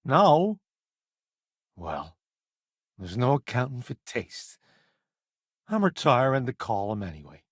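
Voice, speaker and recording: A man's voice: mid-range voice, huge pitch swings, no echo, studio quality, exaggerated voice. A man playing a vain, flamboyant columnist turned space crewmate in a video game, with a wry, theatrical, smug delivery. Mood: wry, chummy. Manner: theatrical, wry, smug banter with drawn-out emphasis. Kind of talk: video game companion dialogue.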